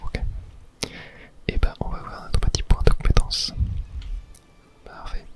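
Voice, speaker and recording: Whispering ASMR gamer, breathy and unvoiced. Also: unhurried. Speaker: host playing a video game. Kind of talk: whispered ASMR game commentary. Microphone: close microphone.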